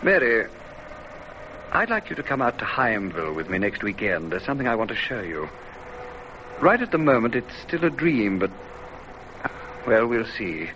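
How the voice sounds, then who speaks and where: Animated, earnest young dreamer. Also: male voice, lowish voice, moderate pace, long pauses. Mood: pensive. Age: young adult. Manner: earnest, insistent. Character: stubborn, dreamy young ex-soldier with big plans. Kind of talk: old radio drama acting. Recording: muffled, faintly noisy old radio.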